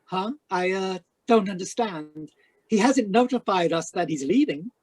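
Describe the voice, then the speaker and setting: A woman's voice: medium pitch, animated, measured pace, a little mellow, slightly rough. A woman playing a polite, gossipy and easily flustered hotel desk clerk in an old-time radio drama. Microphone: video call.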